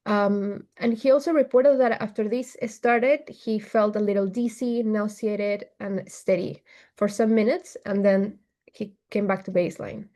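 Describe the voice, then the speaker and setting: A woman from Peru walks listeners through a clinical case in a measured, methodical way. Her voice sits at a middle pitch, with nothing unusual about it. It sounds like she is speaking over a video call. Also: steady tone, warm tone. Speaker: case presenter, physician applying to neurology.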